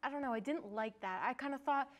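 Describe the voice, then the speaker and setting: A woman with a high voice chats casually as part of a film podcast. She is playful and joking, chipping in with chatty asides. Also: quick pace. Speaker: podcast co-host or guest.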